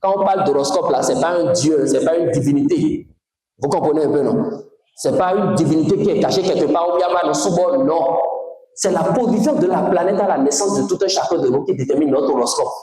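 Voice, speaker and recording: Male teacher from Togo, high-pitched, emphatic and animated, very reverberant room. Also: a little fast. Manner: animated, emphatic, questions to the audience. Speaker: spiritual teacher. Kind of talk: lecture to a class. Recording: very echoey room.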